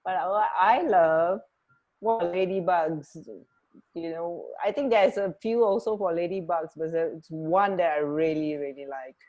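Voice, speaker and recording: A woman's voice: lowish voice, unhurried, a little mellow, dull audio. A woman co-hosting a radio show in casual chat, feeling her way through sentences with a hesitant, searching delivery. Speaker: radio co-host. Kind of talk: casual chat about fun facts.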